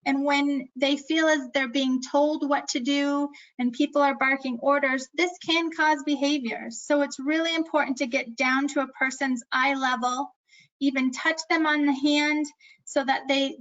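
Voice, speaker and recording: A woman with a noticeably high, light voice walks through a caregiving lesson. Her delivery is earnest and instructive. The sound is slightly muffled and lo-fi. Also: steady tone, a bit bright. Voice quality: high-pitched voice. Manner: earnest, instructive, self-aware about speaking fast. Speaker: memory care presenter. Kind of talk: scripted educational presentation.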